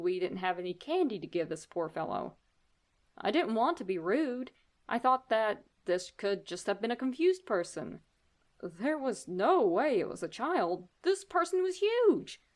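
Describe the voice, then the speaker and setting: A woman host reading horror stories aloud in a deliberate, theatrical narrating style. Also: on the high side. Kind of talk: dramatic scripted story narration.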